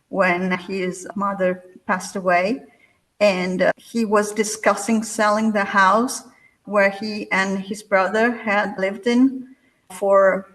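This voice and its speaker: A woman, a literary translator answering interview questions in a slow, measured way with pauses. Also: medium pitch, a bit bright, rough recording.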